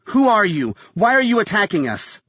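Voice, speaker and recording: A male narrator plays a tough armed woman in a thriller audiobook. The voice is raised in pitch, terse and urgent, firing off clipped questions and orders in a dry recording. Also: sing-song, normal speed, a bit bright, no room sound, dull audio, heavily acted. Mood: demanding.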